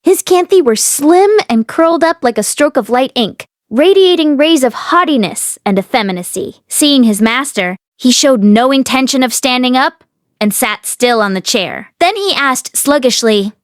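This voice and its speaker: High-voiced woman reading a novel aloud. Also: lively intonation, moderate pace, no echo, studio quality. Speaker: audiobook reader.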